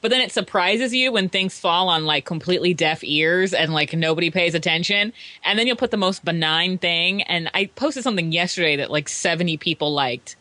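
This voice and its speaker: A woman's voice: fairly low pitch, brisk, hardly any pauses, bright, forceful tone, no room sound. A woman co-hosting a podcast in chatty casual talk, sprinkling in lots of "like". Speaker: podcast co-host. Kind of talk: casual chat.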